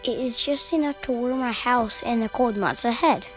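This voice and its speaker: A woman's voice: a little high, normal speed, close to an everyday voice. A woman voicing a sweet, earnest, grateful little boy in an audio storybook. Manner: earnest, innocent. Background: music.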